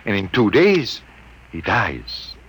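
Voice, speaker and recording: A frightened scientist in an old radio drama. His voice is low and hushed, yet rises and falls widely as he describes the danger with grave anxiety. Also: huge pitch swings, takes it slowly, slight background noise, exaggerated voice. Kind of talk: 1950s radio drama acting.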